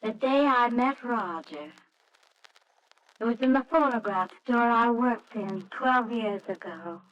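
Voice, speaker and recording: An actress playing a gentle, demure young wife wistfully recalling her courtship in an old-time radio drama. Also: fairly high pitch, expressive, unhurried, highs cut off. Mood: wistful, reminiscing.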